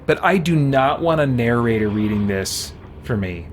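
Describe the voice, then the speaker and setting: A man live-streaming a game, reading its text aloud and chatting casually as he thinks out loud. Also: medium pitch, moderate pace. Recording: steady background noise.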